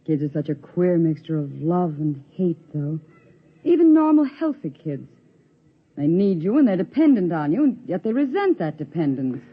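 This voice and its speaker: Nervous suburban mom, old radio play, expressive swings in pitch, muffled vintage sound. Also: female voice, normal speed, husky. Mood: wry, pensive. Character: anxious suburban mother turning terrified by invasion. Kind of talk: 1950s radio drama acting. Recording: muffled, hissy 1950s radio recording.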